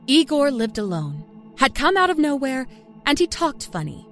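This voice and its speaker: A woman playing a self-satisfied small-town liar from Kentucky, telling her dark story in a folksy, confiding way. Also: sits high, sing-song, moderate pace. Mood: sly, gleeful. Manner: folksy, chatty, smugly confiding. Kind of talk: first-person dark storytelling. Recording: music under the narration.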